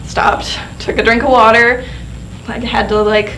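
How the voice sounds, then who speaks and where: A woman's voice: fairly high pitch, level tone, unhurried, pushed and bright. Easygoing young woman, noisy recording. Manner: easygoing, lots of "like" fillers. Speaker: singer-songwriter guest. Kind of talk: casual interview. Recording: noisy room ambience.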